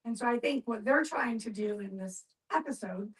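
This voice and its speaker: A woman's voice: a little high, normal speed. A woman explaining and advising in a group discussion, building her points with repeated phrases. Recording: distant mic, group discussion.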